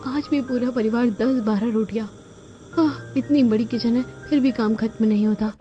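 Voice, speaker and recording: A woman's voice: fairly high pitch, fairly even intonation, normal speed, warm tone, highs cut off, natural voice. A woman voicing a resentful young daughter-in-law in a cartoon family drama, muttering complaints about being worn out. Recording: band-limited audio with music behind.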